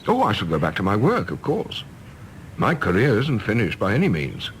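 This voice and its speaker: A man's voice: on the low side, wildly swooping pitch, normal speed, a little hiss or hum. An actor playing an earnest, wistful middle-aged English man with idealistic hopes, in a radio play. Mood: determined.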